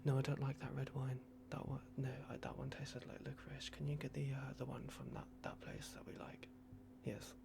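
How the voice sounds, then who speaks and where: A man speaks in a whispery hush with a lot of vocal fry. He is doing an ASMR roleplay as a courteous, flustered vampire clinic worker. His delivery is hesitant and apologetic. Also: mid-range voice, monotone, a little fast, few pauses.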